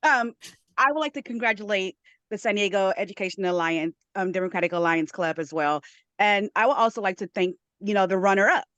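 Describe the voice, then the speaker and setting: A woman's voice: mid-range voice, brisk, fairly bright tone, no echo, dull audio. A woman club president speaking emphatically at an online meeting, pressing her case. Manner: emphatic, advocating. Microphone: video call.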